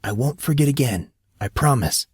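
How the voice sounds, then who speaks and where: A man's voice: mid-range voice, animated, normal speed, a little mellow, breathy edge, no room sound, studio quality, voice pushed up. A man voicing a sardonic teenage schoolboy with slangy talk in an audiobook. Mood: sad, apologetic. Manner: teasing, slangy. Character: groggy, sardonic teenage boy, new at school.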